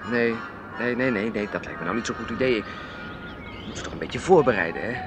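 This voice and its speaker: An actor playing a timid, clinging husband who craves security, speaking hesitantly and placatingly in a radio play. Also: male voice, fairly low pitch, lively intonation, a little fast, over music, lightly acted. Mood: placating, evasive. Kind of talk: radio drama acting.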